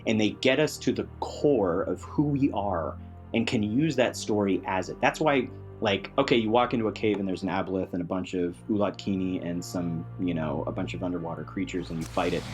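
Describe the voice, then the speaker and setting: Male podcast guest, low register, casual but earnest and emphatic, light music bed. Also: brisk, darkish tone. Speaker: podcast panelist. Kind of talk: casual game discussion.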